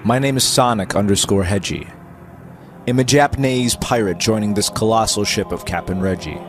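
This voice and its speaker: A man's voice: fairly low pitch, normal speed. Theatrical male storyteller over prominent music. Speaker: narrator. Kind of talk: dramatic reading of amateur pirate fiction. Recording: music playing behind the voice.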